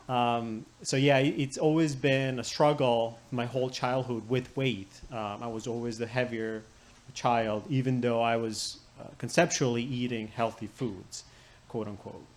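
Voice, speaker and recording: A man from Croatia, a patient guest on a health panel, telling his story briskly and matter-of-factly. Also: medium pitch.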